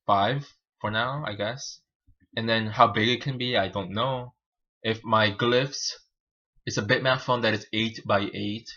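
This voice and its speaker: Guy w spanish as first language doing a live coding stream, lowish bright voice, kinda halting, talks himself thru it. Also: fairly even intonation, muffled recording. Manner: halting, informal, self-narrating. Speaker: programming livestream host. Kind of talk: live coding tutorial, thinking aloud.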